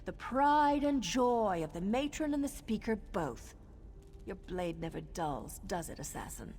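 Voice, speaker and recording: A woman's voice: mid-range voice, sing-song, measured pace, darkish tone, a little hiss or hum. A woman voicing an embittered, restless assassin in a fantasy game, sardonic and emotional. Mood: bitter. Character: embittered, restless, reckless female assassin, defensive and proud.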